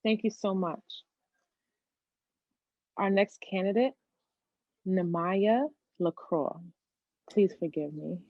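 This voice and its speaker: Casting: slow, polite woman moderator, dry dull video-call sound. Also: mid-range voice, no room sound. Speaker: forum moderator.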